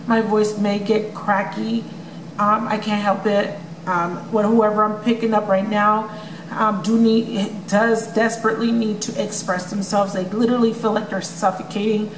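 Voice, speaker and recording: A woman's voice: medium pitch, little pitch movement, normal speed. A woman giving a casual tarot love reading to her viewers, chatty and full of ums, with a voice that may crack from overuse. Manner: chatty, many ums, addresses viewers as you guys. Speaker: tarot reader. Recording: echoey room with noisy background.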